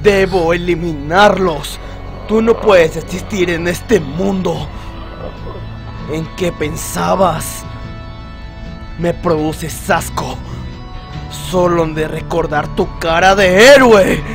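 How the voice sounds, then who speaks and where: A man's voice: high voice, slow, gravelly, pauses often, music in the background, full character voice. A man playing a hot-headed teenage boy soldier in an amateur Latin American Spanish anime fan dub. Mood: seething disgust.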